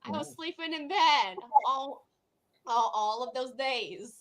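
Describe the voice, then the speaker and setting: A woman chatting casually in a halting way, often repeating her words and phrases. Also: high voice, animated, fairly bright tone, rough recording. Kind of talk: casual chat.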